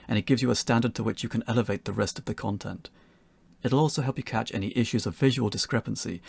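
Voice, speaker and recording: A man's voice: mid-range voice, gravelly, a little mellow, breathy edge, dry recording. A man narrating a scripted tutorial in an articulate way with wry phrasing. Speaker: game developer giving a tutorial.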